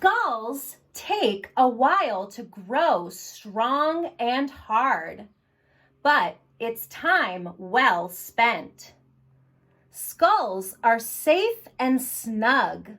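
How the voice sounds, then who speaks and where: A woman hosting story time for young children, speaking in an animated, encouraging way straight to her little listeners. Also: a little high. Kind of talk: reading and singing aloud to young children.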